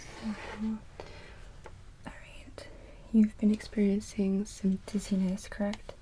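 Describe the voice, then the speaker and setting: A woman whispering gently in an ASMR medical roleplay as a receptionist, asking orderly questions in short phrases. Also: medium pitch, level tone, slow pace.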